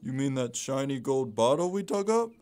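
A man's voice: mid-range voice, big pitch swings, a little mellow, clear, resonant tone, lightly acted. Man playing a dopey, swoopy-voiced timid sidekick. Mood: puzzled. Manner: slow-witted, hesitant, folksy. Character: dim, good-hearted, timid male crook's sidekick. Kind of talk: audio theater acting.

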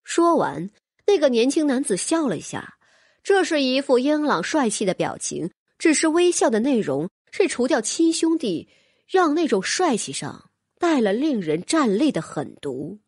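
A woman's voice: high-pitched, very animated, normal speed, a little mellow, breathy edge, no room sound, clean studio sound. A woman narrating fantasy fiction as an audiobook reader, in a scripted, dramatic storytelling style.

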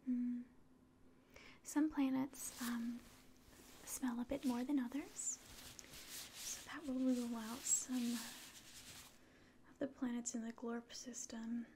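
A young woman performs a gentle ASMR exam roleplay in a breathy whisper. Her delivery is slow and hesitant, with a soft, mellow tone. She is close to the mic, with faint hiss behind her. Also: fairly high pitch, level tone. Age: young adult. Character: polite, clinical alien examiner. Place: small room indoors.

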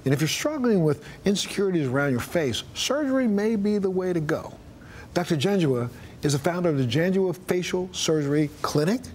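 A man's voice: high voice, expressive, brisk, a little mellow, a little hiss or hum. A middle-aged man, interviewing conversationally as a talk-show host in a TV studio.

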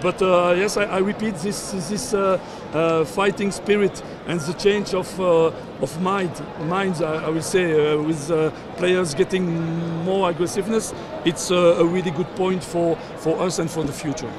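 An older man coaching football, speaking at a post-match press scrum outdoors, halting with many fillers and repeated words. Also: high-pitched, steady tone, a crowd in the background. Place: outdoors at a sponsor backdrop, surrounded by reporters. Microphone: cluster of handheld reporter mics and phones.

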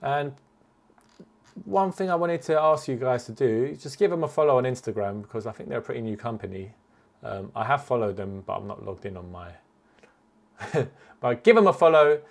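A man gives a casual, conversational commentary in a mid-pitched voice. He thinks aloud as he goes, like an informal video host walking viewers through a product. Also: a little fast, vocal fry. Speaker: flight sim video host. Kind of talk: casual product commentary over a screen recording.

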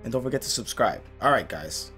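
A young man commentating on a mobile fighting game, excitable and losing his voice, seated at a home gaming setup. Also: medium pitch, a little fast, faint music behind. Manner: excitable, swings from muttering to yelling at the game. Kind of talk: casual gameplay commentary.

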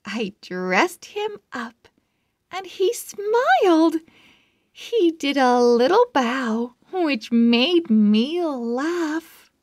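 A woman reading a children's story aloud with deliberate, dramatic phrasing. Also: sits high, big pitch swings, no echo, clean studio sound. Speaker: children's book read-aloud host. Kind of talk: expressive read-aloud narration for young children.

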